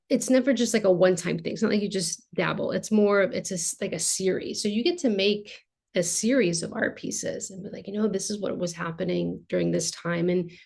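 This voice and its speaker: A woman's voice: medium pitch, vocal fry. A woman in her thirties, an art therapist, explaining her work in a casual video-call interview with lots of fillers. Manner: animated, explains with hand gestures, many fillers. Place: home art studio with shelves. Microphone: video call webcam.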